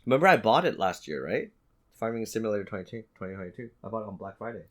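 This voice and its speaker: A man co-hosting a podcast in casual chat, halting and repeating words for emphasis. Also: fairly low pitch, animated, fairly bright tone. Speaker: podcast co-host. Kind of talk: casual chat about video games.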